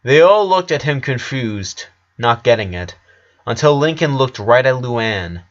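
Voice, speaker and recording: A man's voice: mid-range voice, lively intonation, a little slow. A man reading a story aloud as scripted narration, in the role of narrator. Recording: dull lo-fi recording.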